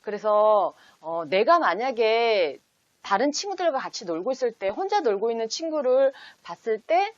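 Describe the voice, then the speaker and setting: A woman presents an instructional talk in a fairly high, very animated voice. She explains things in steps and poses sample questions as one would to a child. The sound is close and dry, with little room around it. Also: normal speed, no room sound, highs cut off. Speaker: host teaching parents how to read picture books with children.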